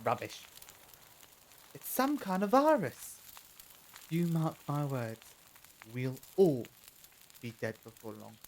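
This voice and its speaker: A woman's voice: deep voice, very animated, slow, long pauses, a little mellow, slight background noise, exaggerated voice, poor audio. Woman acting a scornful, wildly swooping, gloomy captive. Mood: gloomy, dismissive. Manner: dismissive, sardonic. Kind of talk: fan audio drama acting.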